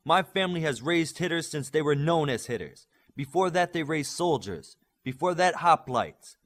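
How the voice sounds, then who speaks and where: Guy doing fierce proud warrior superhero leader, raised pitch, loud impassioned audiobook speech. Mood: proud, solemn. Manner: forceful, impassioned oratory. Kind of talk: audiobook character acting.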